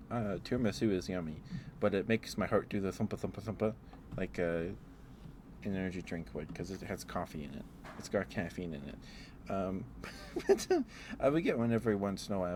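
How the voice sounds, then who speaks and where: A man streaming ASMR, chatting in a halting, rambling way through casual personal stories. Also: low-pitched, a little mellow, slight background noise.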